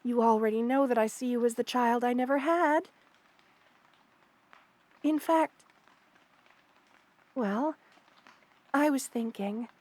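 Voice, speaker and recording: A woman voicing a kind, protective spider queen in an ASMR roleplay, speaking intimately and soothingly to the listener with hesitant pauses and formal wording. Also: on the high side, a little mellow, no room sound. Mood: hesitant affection.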